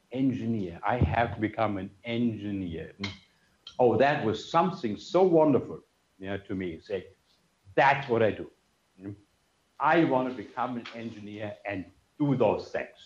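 An older man with a German accent lectures in a roomy, slightly muffled hall, his pitch rising and falling in lively swings. He tells stories casually, dropping in "you know" and "yeah" as he goes. His voice sits at a middle pitch for a man. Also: a little slow, long pauses. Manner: conversational, frequent "you know" and "yeah" tags. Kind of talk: memorial lecture with personal storytelling. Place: conference room with audience. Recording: roomy, slightly dull lecture room sound.

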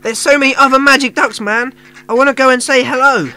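A woman's voice: sits high, steady tone, brisk, sharp tone. A woman playing a cocky, laid-back magical talking duck in a radio drama, with slangy delivery. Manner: slangy, dude-ish, carefree.

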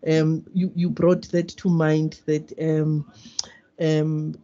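A woman's voice: sits low, flat delivery, slow pace, warm tone. A woman attending an online seminar, politely giving a formal comment. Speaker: seminar participant. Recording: muffled video call audio.

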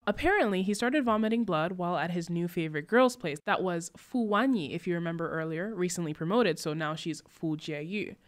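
Young woman, book recap narration, wry and sarcastic, animated pitch. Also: brisk, hardly any pauses.

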